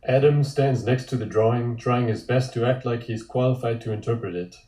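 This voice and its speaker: A man reading scripted scene descriptions as narrator at a table read, deliberate and descriptive. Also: mid-range voice, warm tone. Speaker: narrator reading stage directions. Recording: echoey room sound.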